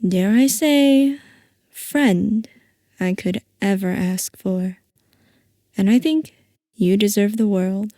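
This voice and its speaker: A woman playing a playful, possessive scientist boss who dotes on her assistant, in a soft, coaxing ASMR roleplay. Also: medium pitch, no room sound, studio quality. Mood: doting, tender. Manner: soft, coaxing, affectionate villainy.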